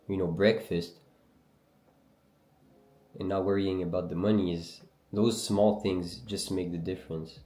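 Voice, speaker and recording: Deep-voiced French Canadian man chatting live. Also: mellow, reverberant. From Algeria, raised in Canada. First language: Berber language. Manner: loose, slangy, reads and answers chat. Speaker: livestream trader host. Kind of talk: casual livestream chat answering viewers.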